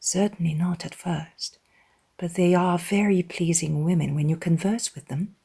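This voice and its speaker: Lady doing a gentle young lady in an audiobook, modest n sweet, soft breathy talk. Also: lowish voice, fairly even intonation, moderate pace. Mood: modest, earnest. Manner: soft-spoken, earnest, mild. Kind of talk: dramatized audiobook character.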